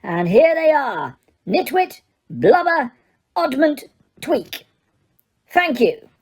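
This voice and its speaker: A woman's voice: high voice, very animated, takes it slowly, pitched above the performer's own voice, heavily acted. A woman voicing an eccentric, beaming old wizard headmaster who speaks grandly. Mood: whimsical. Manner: warm, grand, whimsical.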